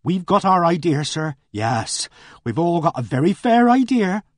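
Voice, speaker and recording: A man's voice: on the high side, sing-song, measured pace, no echo, studio quality, pitched above the performer's own voice. A man voicing an earnest, indignant middle-aged village charwoman who rambles breathlessly. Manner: breathless, rambling country speech.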